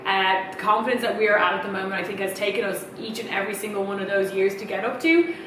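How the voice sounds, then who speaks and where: Throat-clearing woman in her thirties, echoey. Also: medium pitch, fast. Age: 30s. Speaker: podcast co-host. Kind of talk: casual chat. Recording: echoey room, mic at a distance, noisy.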